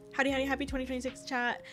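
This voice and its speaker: A woman's voice: sits high, level tone, fast talker, fairly bright tone, faint music behind. A young woman streaming as a VTuber, chatting casually with viewers; she sounds congested and a little tired, rambling and giggling often. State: tired, low energy. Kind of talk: casual livestream chat.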